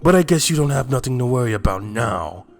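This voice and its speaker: A man's voice: medium pitch, expressive, a little fast, vocal fry, soft background music. A man playing a proud, blunt alien warrior in a fan-made anime drama, challenging with pointed questions. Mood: insistent.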